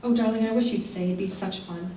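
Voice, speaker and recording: A woman's voice: medium pitch, steady tone, quick pace, dark tone, lightly acted. A woman playing a clinging, reproachful wife in an old-time radio play. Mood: pleading. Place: theater stage, live performance. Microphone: stage stand mics, distant camera. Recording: echoey distant stage, faint noise.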